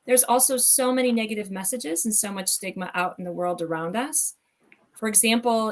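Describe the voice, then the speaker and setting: Woman calmly explaining as guest expert. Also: mid-range voice. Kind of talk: informative explanation.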